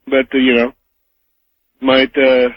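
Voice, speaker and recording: A man calling a radio show, talking in a hesitant, halting way with many pauses. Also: mid-range voice, monotone, no echo. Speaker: phone caller to a radio show. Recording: dull, dry phone line on radio.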